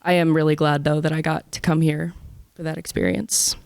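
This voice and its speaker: A woman's voice: deep voice, little pitch movement, fairly quick, creaky, a little mellow. A woman of about twenty from Indiana with an American accent, speaking informally as a student panelist. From Indiana, United States. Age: around 20.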